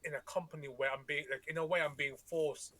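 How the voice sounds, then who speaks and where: A man with a bright, forward voice chats casually on a podcast. His first language is Tagalog, and he rambles, restarts his sentences and swears freely. The sound is dry and close to the mic, with a slightly high pitch. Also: steady tone, a little fast, dry recording. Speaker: podcast co-host. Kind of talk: casual chat.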